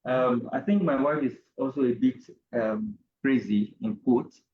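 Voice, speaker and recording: A middle-aged Nigerian man, an interview guest, telling a personal story in a deliberate, halting academic way. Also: mid-range voice, reverberant, narrow, tinny audio. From Nigeria, Ogun State. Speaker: interview guest, university professor.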